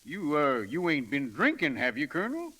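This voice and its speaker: High-voiced, excitable Western American rancher. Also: male voice, normal speed, husky, exaggerated voice. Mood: puzzled. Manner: excitable, stammering, folksy. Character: folksy, blustering, good-hearted American Western rancher. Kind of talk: 1930s radio acting.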